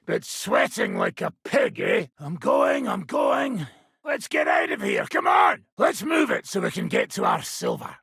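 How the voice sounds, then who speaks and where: Blunt mercenary captain, male, heavy rasp and grit, forceful edge, high-pitched, swearing, gruff, medieval game character. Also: huge pitch swings, unhurried, dry recording, clean studio sound, exaggerated voice. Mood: urgent shouting. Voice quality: gravelly, raspy. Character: coarse, combative, foul-mouthed medieval mercenary commander. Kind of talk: video game character acting.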